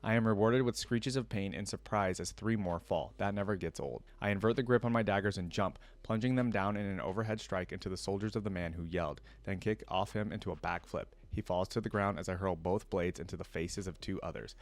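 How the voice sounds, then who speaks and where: A man hosting a reaction video, reading a story aloud and adding casual, expressive comments of his own. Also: low voice, a little fast, few pauses.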